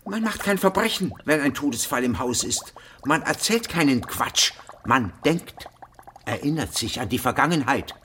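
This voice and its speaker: Actor playing dazed, stubborn postman, animated pitch. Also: moderate pace, a little hiss or hum, close to an everyday voice. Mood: stubborn, grave. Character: injured, dazed, stubborn male postman, protesting. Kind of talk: German radio drama acting.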